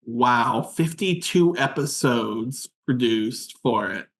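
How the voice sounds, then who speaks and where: A male podcast host talks slowly and without hurry. He reads out facts and drops deadpan asides. His pitch sits in the ordinary middle for a man. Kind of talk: casual group chat.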